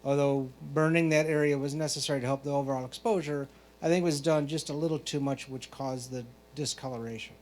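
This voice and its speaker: A man working as a photo competition judge, reading out prepared critiques in a measured, explanatory way. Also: medium pitch, steady tone, darkish tone. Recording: clear background noise, hum.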